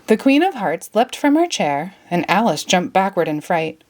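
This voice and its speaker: A woman narrating a children's story as an expressive read-aloud. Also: fairly low pitch, moderate pace.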